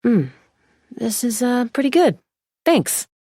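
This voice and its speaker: A woman voicing a confident, composed young female gunslinger and peacemaker in a video game, with a husky voice and a measured, wry delivery. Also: on the high side, very animated, dark tone, no room sound, clean studio sound, exaggerated voice. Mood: bright, playful. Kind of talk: scripted game character voice lines.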